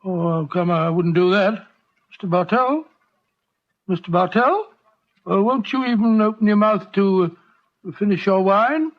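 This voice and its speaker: Bumbling English gentleman, high voice, hesitant bluster, 1940s radio drama, muffled vintage sound. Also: measured pace, pauses often. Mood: flustered. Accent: British. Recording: dull vintage radio recording.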